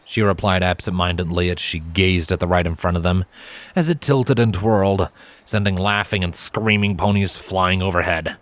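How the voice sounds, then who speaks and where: Casting: male story narrator, lower-pitched voice, expressive scripted read of fan fiction. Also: normal speed, no echo.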